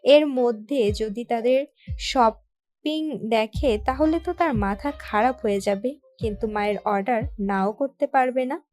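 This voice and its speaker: A woman narrates a scripted story. Her voice is fairly high, and she delivers it as a storyteller reading prepared text. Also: unhurried, darkish tone.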